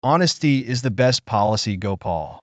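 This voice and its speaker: A man voicing a kind, wise village aunt in a storybook, gentle but firm. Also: medium pitch, lively intonation, moderate pace, no room sound, natural voice. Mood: kindly wisdom.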